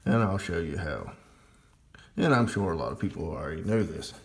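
A man giving a casual, unscripted hands-on demonstration of his hobby equipment, talking in a halting way. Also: low-pitched, expressive, vocal fry. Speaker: amateur radio hobbyist demonstrating gear.

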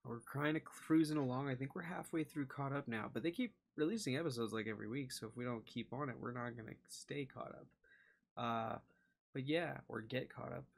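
Tired, low-key young man, creaky voice. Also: fairly quick. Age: young adult. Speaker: reaction video host. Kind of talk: casual chat to viewers. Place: small cluttered room indoors.

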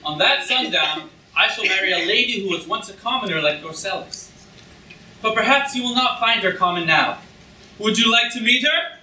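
A man's voice: high voice, lively intonation, moderate pace. A man playing a pompous prince, addressing his subjects with grand, declamatory delivery in a stage comedy. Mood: grand proclaiming. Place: lecture hall stage, indoors, recorded from audience. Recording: echoey hall from far off, very noisy audience room.

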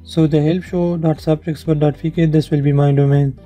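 Very dark-voiced man narrating a tutorial. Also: a little high, flat delivery, fairly quick. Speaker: tutorial presenter. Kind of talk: instructional screen-share narration. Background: background music.

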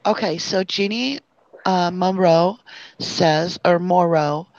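A woman's voice: fairly low pitch, slow, darkish tone, slight background noise. A woman hosting a live chat discussion, reading out questions and passing them to the panel. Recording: dull lo-fi online call audio.

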